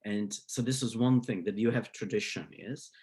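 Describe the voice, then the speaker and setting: A man's voice: fairly low pitch, moderate pace, darkish tone, breathy edge. A man in his fifties from Slovakia with a Slovak accent, answering interview questions hesitantly with many fillers and restarts. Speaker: psychology professor, guest.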